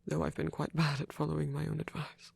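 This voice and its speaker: A man performing a soft ASMR roleplay as a lonely, gentle old vampire priest, speaking haltingly and tenderly in formal words, breathy and often near a whisper. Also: on the high side, completely flat delivery, dry recording, heavily acted. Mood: wistful, tender.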